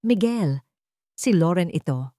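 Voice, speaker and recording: A narrator acting out a frightened woman in an audiobook drama. She pleads in a hushed, trembling voice, recorded dry and close. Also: fairly low pitch, lively intonation, slow pace, dry recording. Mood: frightened, pleading.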